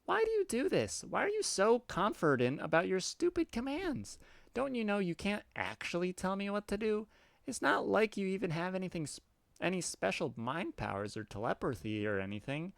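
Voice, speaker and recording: A man voicing a timid young male troll who protests in a whiny, halting way. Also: high-pitched, very animated, gravelly, no echo, pitched above the performer's own voice, full character voice. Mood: defiant.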